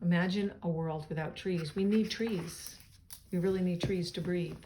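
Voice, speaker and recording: A woman reading a children's story aloud expressively, pausing for simple asides to young listeners. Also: fairly low pitch, steady tone, dark tone, slight background noise. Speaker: storybook reader.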